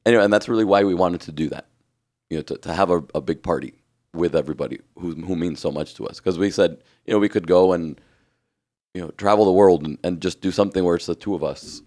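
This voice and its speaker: Hoarse deep-voiced man, raspy. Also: quick pace, darkish tone. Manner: earnest, reflective, restarts often. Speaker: podcast co-host. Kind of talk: casual chat.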